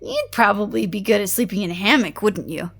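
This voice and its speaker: A woman's voice: medium pitch, animated, brisk, breathy edge. A woman acting in an audio drama as a playful, flirtatious woman with a slangy, casual, teasing way of talking. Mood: casual, coaxing.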